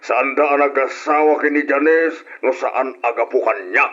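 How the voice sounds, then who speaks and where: A man's voice: mid-range voice, moderate pace, fairly bright tone, muffled recording, natural voice. A man acting in an old radio drama as a shameless, argumentative, wheedling husband who feels owed by his relatives. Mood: defiant.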